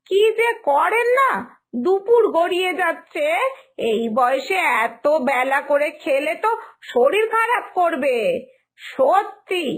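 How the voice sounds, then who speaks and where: Woman acting young village mother, fretful, polite, high-pitched, slow deliberate phrasing, animated story. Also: heavily acted. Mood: fretful scolding. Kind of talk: animated moral story dialogue.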